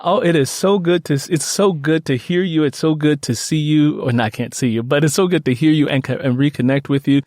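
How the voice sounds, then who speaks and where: A man's voice: a little high, brisk, hardly any pauses, a little mellow, no echo, studio quality. A man hosting a podcast interview in an effusive way, often repeating his phrases. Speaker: podcast host.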